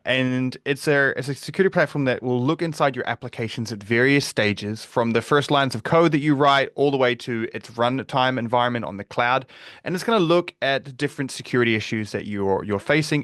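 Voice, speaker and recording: A man with a New Zealand accent hosts a live online webinar, talking in a relaxed, conversational way and often pausing on fillers and restarting his sentences. His voice sits at an ordinary middle pitch. The recording is dry and close, with no room sound. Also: dry recording. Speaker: webinar presenter, security advocate.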